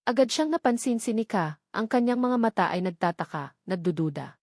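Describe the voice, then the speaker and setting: Female story narrator, mid-range pitch, scripted romance drama read, dry studio-style sound. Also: expressive, brisk, darkish tone, no room sound.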